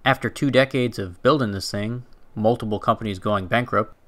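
A man's voice: lowish voice, moderate pace. A young man narrating a walking tour for his travel vlog in a casual, chatty way, with fillers and restarts. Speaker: travel vlogger.

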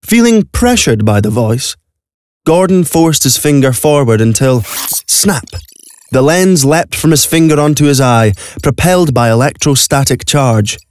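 A man with a medium-pitched voice narrates an audio play. He reads the scripted story dramatically, in a dry studio sound. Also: expressive, measured pace, a little mellow, no echo. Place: recording studio.

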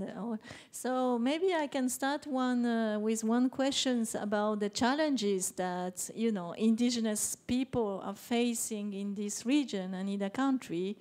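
A woman moderating a panel discussion on a conference hall stage, speaking in measured phrases with filler pauses. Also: fairly high pitch, a little mellow.

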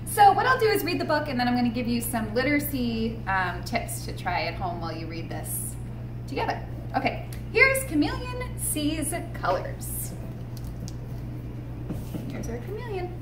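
In a roomy, echoing space with clear background noise, a woman in her thirties talks to preschoolers. Her voice is high and swings expressively up and down as she presents a storytime. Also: normal speed. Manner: animated, expressive, addressing small children. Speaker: children's museum storytime presenter. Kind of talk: read-aloud and tips for young children. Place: indoor room with painted mural wall.

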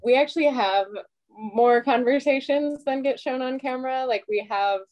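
A woman's voice: high voice, moderate pace, darkish tone. A young woman, a long-distance hiker, chatting over a video call from a small room with a dry, wry humor. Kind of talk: casual video call chat.

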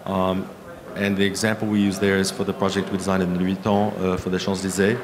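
A man in his fifties giving a conference keynote as an architect, with a halting delivery full of pauses. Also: deep voice, flat delivery. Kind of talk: conference presentation with slides. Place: conference hall stage at a lectern. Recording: clear background room noise.

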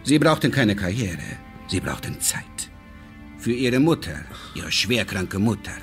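An actor playing a suave, fatherly and controlling businessman in a radio crime drama, speaking deliberately and courteously with veiled menace. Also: fairly low pitch, big pitch swings, faint music behind. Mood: knowing, controlled.

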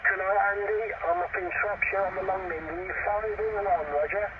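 A man's voice: high voice, fairly even intonation, fairly quick, recorded from a distance. A man chatting casually as a radio operator, his voice coming through a two-way radio. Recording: tinny, very noisy CB radio transmission. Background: loud radio static.

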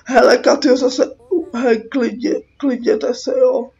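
High-pitched Czech woman playing a game. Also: fairly even intonation, vocal fry, a little mellow. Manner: sweary, exasperated reactions. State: sick with a cold. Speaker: gaming YouTuber. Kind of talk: casual game playthrough commentary, reading out dialogue. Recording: muffled mic with background noise.

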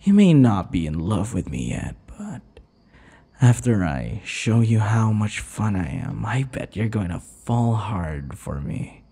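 A man voicing a teasing, possessive centuries-old vampire captor in an intimate ASMR roleplay, breathy and husky, mock-tender and taunting. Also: fairly low pitch, very animated, mellow, exaggerated voice. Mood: obsessive, infatuated. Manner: slow, mock-tender, taunting, often dropping to whispers. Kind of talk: ASMR boyfriend roleplay, intimate dramatic monologue.

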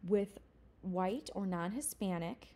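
Woman teaching a class recording, warm mellow voice, chatty and explaining, fixes herself a lot. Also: medium pitch, takes it slowly. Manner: conversational, explanatory, with fillers and self-corrections. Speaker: college instructor. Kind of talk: recorded lecture.

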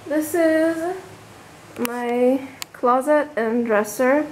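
Young woman chatting slowly, swearing casually. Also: high voice, echoey room, slight background noise, poor audio. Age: 20s. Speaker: travel vlogger. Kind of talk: casual vlog with room tour. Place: small rented room in a residence. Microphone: handheld camera at arm's length.

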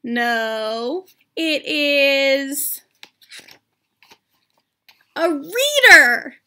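Woman voicing a bright, calm storybook piglet. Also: high voice, extremely slow, full character voice. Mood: matter-of-fact. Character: calm, playful little female pig from a picture book. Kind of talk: children's storybook read-aloud.

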